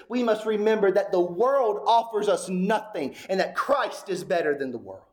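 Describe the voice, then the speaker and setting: Male preacher, high pitch, urgent sermon delivery with rhetorical questions. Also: darkish tone. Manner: preaching with rising intensity, repetitive rhetorical questions. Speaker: pastor.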